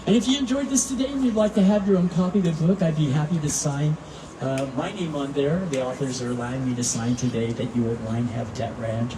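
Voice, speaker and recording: A man reading a children's story aloud at a live event in an expressive, earnest way. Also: on the high side, fast. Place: outdoor public event at animal habitat. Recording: roomy, distant mic, noisy outdoor ambience.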